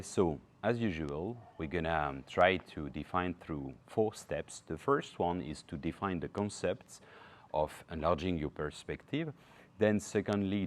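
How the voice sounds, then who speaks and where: A man in his forties teaching to camera in an outdoor garden on a lapel mic, halting with fillers and often checking in with "okay?". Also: deep voice. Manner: halting, frequent fillers and tag "okay?", gestures constantly. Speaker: personal development teacher.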